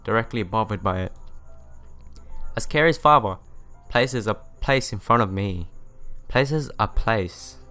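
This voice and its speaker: A man's voice: lowish voice, measured pace, pushed and bright, faint music behind. A man hosting a let's play, reading visual novel narration aloud and sometimes stumbling and correcting himself.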